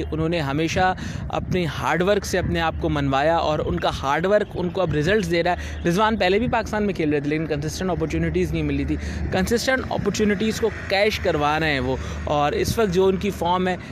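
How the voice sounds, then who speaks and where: A man's voice: on the high side, a little fast, noisy background. A young man working as a sports analyst, giving fluent, assured cricket analysis into a handheld mic outdoors by a stadium. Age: young adult. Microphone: handheld reporter mic.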